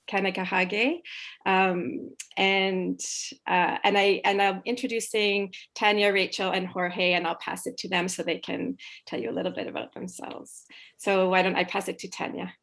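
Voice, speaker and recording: A woman moderating an online panel over a video call. Her voice sits at a middle pitch with a lot of vocal fry. She speaks hesitantly and pauses often on ums as she introduces everyone.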